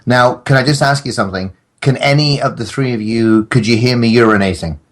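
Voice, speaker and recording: A man's voice: mid-range voice, fairly quick. A middle-aged man chatting casually on a podcast, joking with mock-formal asides.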